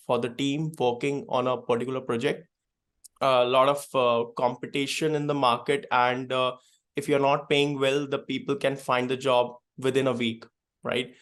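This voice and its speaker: A man's voice: mid-range voice, a bit bright. A man answering interview questions hesitantly, with many filler sounds. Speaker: guest.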